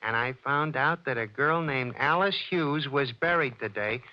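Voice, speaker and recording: An actor playing a dim-witted, sleepy comic sidekick in a 1940s radio drama, with a slow, drawling delivery. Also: male voice, medium pitch, natural voice. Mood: drowsy. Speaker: detective's sidekick. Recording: muffled 1940s radio broadcast with faint hiss.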